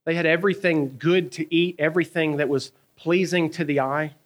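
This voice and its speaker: Man, thirties, preacher, storytelling sermon, lively, asks the crowd questions, fairly high voice. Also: normal speed. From American South. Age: 30s.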